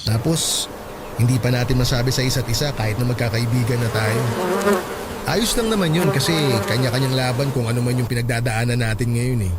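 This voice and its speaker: A man playing a sincere young male friend who explains a plan calmly but gets a bit defensive when teased. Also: mid-range voice, brisk, very noisy, close to an everyday voice.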